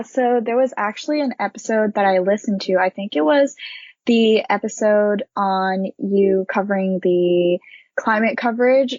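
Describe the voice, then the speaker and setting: A woman's voice: mid-range voice, darkish tone, no echo, muffled recording. A young woman from Japan, a university student and intern, speaking earnestly and thoughtfully as an interview guest. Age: young adult. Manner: earnest, thoughtful, light fillers. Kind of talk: casual interview chat.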